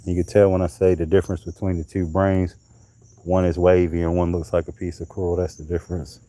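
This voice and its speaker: A man's voice: sits low, level tone, fairly quick, dark tone, dry recording. A man hosting a live stream, chatting casually and rambling as he shows pieces of coral, often trailing off mid-sentence. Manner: handling and showing coral pieces.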